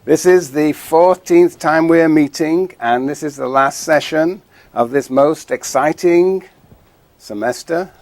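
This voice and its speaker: A man professor leading a seminar in a classroom, lively and dryly joking. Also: on the high side, slow, dry recording.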